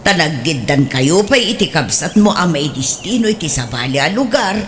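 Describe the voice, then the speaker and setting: A woman's voice: low-pitched, very animated, normal speed, slight background noise. A woman voicing an older mother in a radio drama who keeps pressing her children, talking in a coaxing, insistent way. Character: older mother, eager and pushy. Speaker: mother longing for grandchildren. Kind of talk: Ilocano radio drama acting.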